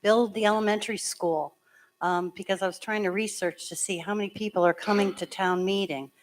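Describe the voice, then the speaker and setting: A woman town clerk with a hoarse voice, chattily explaining voting procedure step by step at a public meeting. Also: mid-range voice, steady tone, fairly quick. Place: school meeting room.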